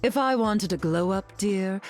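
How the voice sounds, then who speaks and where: An actress playing a proud, sharp-tongued, glamorous heroine in a video game, withering in manner. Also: female voice, mid-range voice, unhurried, soft background music, natural voice. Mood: haughty, withering. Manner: haughty, withering. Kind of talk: video game character lines.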